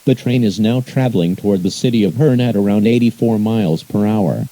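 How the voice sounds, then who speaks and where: A man's voice: on the low side, brisk, warm tone, no echo, low-quality recording. A man reading scripted emergency alerts as an announcer, in a formal, impersonal, synthetic-sounding delivery.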